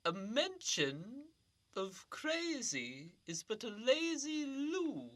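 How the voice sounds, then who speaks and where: A dreamy, riddling old eccentric, as an actor puts him on, drifting through rhyming nonsense in a comedy radio play. His voice is bright and swoops up and down, and he speaks very slowly. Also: very high-pitched, very animated, heavily acted. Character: eccentric old male riddling imposter, rambling.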